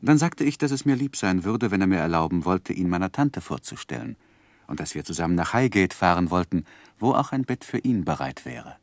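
An actor playing a composed, considerate gentleman who narrates his life story in measured literary style for a 1960s radio play. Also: sits low, sing-song, no echo, studio quality, exaggerated voice. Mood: calm, concerned.

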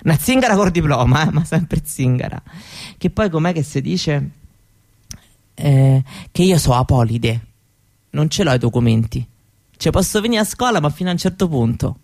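A woman playing a candid, proud seventeen-year-old Roma girl in a radio monologue, with a Roman Italian accent and halting colloquial talk that often seeks agreement. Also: low-pitched, animated, gravelly, a little mellow, full character voice. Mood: wistful, defiant. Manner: colloquial, halting, seeking agreement with little tag questions. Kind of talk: conversational theatrical monologue for radio.

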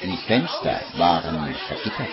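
An actor narrating a macabre radio play as an ominous, husky storyteller. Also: male voice, a bass voice, very animated, a little slow, music in the background, crowd noise, exaggerated voice. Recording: dull old radio recording.

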